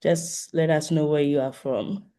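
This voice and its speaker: Mellow voice, mid pitch, online forum host, polite, informal moderating, video call. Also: steady tone, a little slow. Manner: polite, inviting participants to introduce themselves. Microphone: video call microphone.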